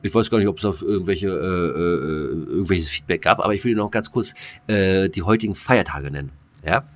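A man's voice: low voice, fairly quick. A man co-hosting a casual podcast, rambling and joking with many fillers. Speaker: podcast co-host.

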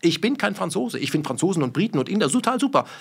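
A man's voice: sits high, very animated, fast, creaky, breathy edge. A man from Germany, talking in a heated conversation with emphatic rhetorical questions and blunt swearing.

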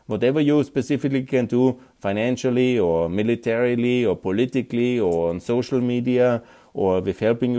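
Austrian guy doing his own podcast, earnest, german is his first language, mellow dark voice. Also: lowish voice. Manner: earnest, frequent uh and yeah tags. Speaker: podcast host. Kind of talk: solo political commentary.

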